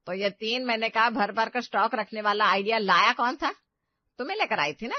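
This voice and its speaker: A woman's voice: on the high side, animated, normal speed, no echo, highs cut off, natural voice. A woman voicing an older mother-in-law, an emphatic, nagging family matriarch in a moral story. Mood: scolding.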